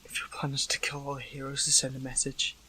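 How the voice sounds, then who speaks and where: A man playing an earnest, hurt captive superhero in an audio roleplay, speaking in halting, pleading phrases. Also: medium pitch, completely flat delivery, pushed and bright, a little hiss or hum, low-quality recording. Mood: challenging, frustrated. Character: captive male superhero, earnest, hurt, questioning an old friend. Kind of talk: dramatic audio roleplay acting, one side of a dialogue.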